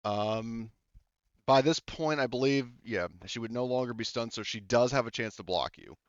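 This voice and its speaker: A man speaking casually and haltingly as the game master of a tabletop roleplaying game, thinking aloud. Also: mid-range voice, no room sound, highs cut off.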